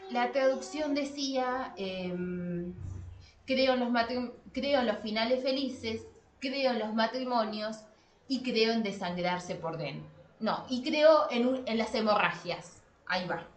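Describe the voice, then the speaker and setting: An expressive, emphatic woman with an Argentine accent, talking casually as a podcast host. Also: on the high side, takes it slowly. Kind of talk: casual podcast discussion about translation. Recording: echoey room with faint noise.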